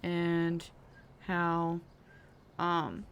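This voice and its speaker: A young woman talks in a slightly low voice, stopping and restarting often as she plays a game. She is recorded in her bedroom with a faint background hiss. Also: completely flat delivery, drawn-out words. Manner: hesitant, lots of ums and restarts, self-deprecating; talks while playing a driving video game. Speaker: vlogger. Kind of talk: casual personal story time.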